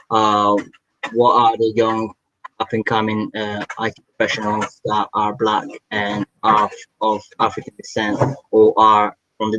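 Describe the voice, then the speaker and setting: A man asking a long question in an online workshop, halting, with many restarts and fillers. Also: fairly low pitch, a bit bright, a little hiss or hum. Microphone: video call. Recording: dull, dry video-call audio.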